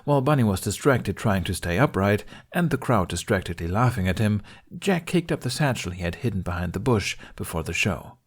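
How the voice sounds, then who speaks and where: Guy with a lowish voice reading a story out loud, scripted narration. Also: very animated, brisk, few pauses, breathy edge. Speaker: fan fiction podcast narrator.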